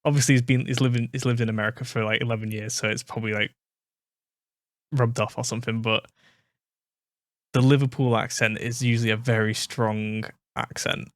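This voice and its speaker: A man's voice: medium pitch, level tone, a little fast, no echo, studio quality. Chatty British man reacting to a video. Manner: chatty, thinks aloud with fillers and restarts. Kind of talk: casual reaction commentary.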